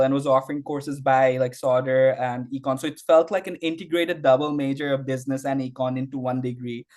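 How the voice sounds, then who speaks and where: A young man from Bangladesh, a university student, answering interview questions casually with frequent fillers and restarts. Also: a little high. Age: young adult. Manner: rambling, heavy use of "like", frequent restarts.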